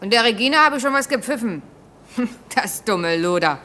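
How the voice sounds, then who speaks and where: An actress in a German radio drama playing a blunt, touchy, indignant factory worker. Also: female voice, fairly high pitch, expressive, moderate pace, sharp tone. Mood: indignant, bitter. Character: feisty, indignant female East German factory machine worker.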